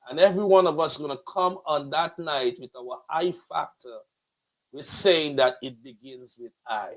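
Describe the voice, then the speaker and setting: Guyanese guy hosting motivational talk, high voice, emphatic and flowery like a pastor. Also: fairly bright tone, low-quality recording. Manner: emphatic, flowery, rhetorical repetition. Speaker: host and pastor of a motivational online show.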